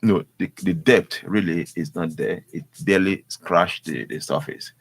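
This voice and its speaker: A man with a Nigerian accent giving unscripted opinion as a news analyst, halting and repeating his words. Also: low-pitched, slightly rough, dry recording. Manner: halting, repeats words, often says "you know".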